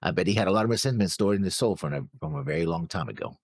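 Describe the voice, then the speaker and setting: Male reaction co-host, deep register, very varied intonation. Casual, halting, word-searching delivery. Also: expressive, gravelly, no echo, clean studio sound. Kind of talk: casual discussion, talking through notes.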